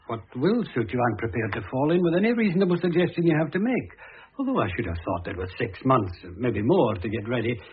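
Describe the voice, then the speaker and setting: An actor playing a conceited, blustering middle-aged former husband in a vintage radio drama, blunt and pompous in manner. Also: male voice, medium pitch, husky. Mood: brisk, self-assured. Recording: muffled, narrow-band old radio recording.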